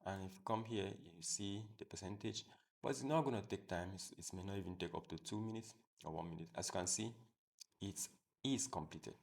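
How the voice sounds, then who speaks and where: A man hosting a software tutorial, explaining step by step in a plain, repetitive way. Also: low-pitched, brisk, creaky. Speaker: tutorial host. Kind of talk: screen-recorded software tutorial.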